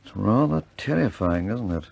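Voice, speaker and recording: An actor playing a mild, thoughtful British inventor, speaking in a hesitant, understated academic way in a 1950s war film style. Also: male voice, lowish voice, animated, normal speed, vocal fry, darkish tone, husky, full character voice. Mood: grave, thoughtful.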